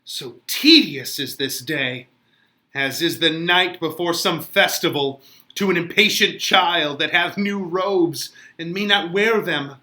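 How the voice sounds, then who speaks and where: A man's voice: fairly high pitch, slow, sharp tone, voice pushed up. A man playing an eager, lovestruck young Juliet, reciting Shakespearean verse with dramatic feeling. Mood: yearning, impatient.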